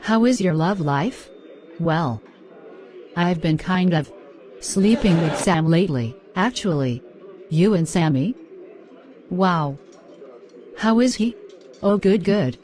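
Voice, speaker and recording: A woman voicing a wry young woman chatting with a friend at a bar in a cartoon, with a flat, deadpan delivery. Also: lowish voice, takes it slowly, long pauses. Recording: loud bar crowd and noise behind.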